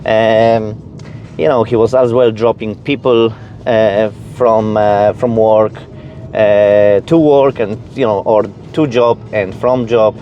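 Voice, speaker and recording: A man's voice: on the low side, steady tone, takes it slowly, lo-fi sound, a little hiss or hum. A man from Poland with a Polish accent, chatting casually to viewers as a vlogger, effusive and often repeating words for emphasis. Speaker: vlogger presenting own channel. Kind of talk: casual personal vlog chat.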